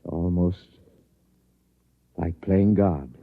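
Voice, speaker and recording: A man acting in an old radio drama as an earnest veteran scientist, a professor who speaks haltingly and with wonder. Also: low voice, phone-quality sound, full character voice. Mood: solemn. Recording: thin noisy old radio recording with hum.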